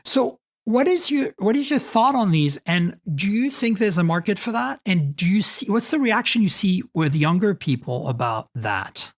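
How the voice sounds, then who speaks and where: A podcast host in their fifties talks tech in an easy, conversational manner. The voice sits mid-range, and sentences often end with a quick "right?" to the guest. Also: mid-range voice, sing-song. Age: 50s. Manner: conversational, tags questions with "right?". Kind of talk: casual podcast interview.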